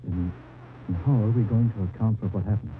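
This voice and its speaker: A man's voice: fairly low pitch, steady tone, fairly quick, natural voice. An actor playing an incredulous, doubting man in an old-time radio drama, speaking in a disbelieving manner. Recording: muffled tinny old radio recording, faint noise.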